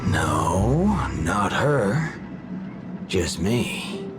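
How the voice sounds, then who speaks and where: An actor playing a cocky, laconic cowboy gunslinger in a game, with a dry American Southern drawl. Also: male voice, fairly low pitch, very animated, drawn-out words, exaggerated voice. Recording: game music underneath.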